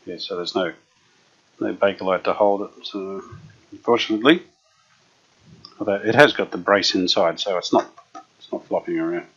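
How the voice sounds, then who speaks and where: A man restoring an old radio, chatting casually as he works, unhurried and full of fillers. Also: sits low, breathy edge. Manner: unhurried, thinking aloud with many fillers. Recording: dull lo-fi workshop recording.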